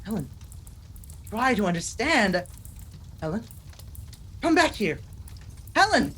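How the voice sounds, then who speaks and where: A man's voice: sits high, sing-song, takes it slowly, bright, forceful tone, husky, exaggerated voice. An actor playing a desperate, obsessed husband who narrates his horror tale in an intense, melodramatic 1940s radio style.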